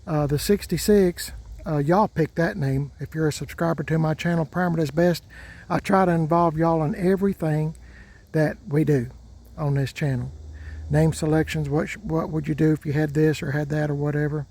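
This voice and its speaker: A man's voice: a little high, steady tone, normal speed, darkish tone. A man, rambling casually and folksily as a vlog host, standing outdoors by his parked trucks. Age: 60s. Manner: folksy, drawling, repeats himself.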